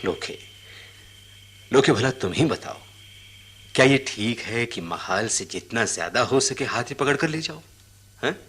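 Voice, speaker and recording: A poor, simple elephant-catcher in a radio play, pours out his troubles. His voice is husky and lively in pitch. He is plaintive and halting, circling back over his phrases. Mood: troubled, restless. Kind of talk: radio drama acting.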